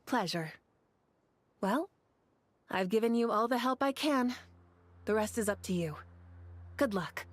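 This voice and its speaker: A woman's voice: on the high side, lively intonation, measured pace, pauses often. A woman playing a confident, easygoing female ally in a video game, speaking in a casual, knowing, familiar way. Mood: assured. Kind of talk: video game story acting. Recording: music behind the dialogue.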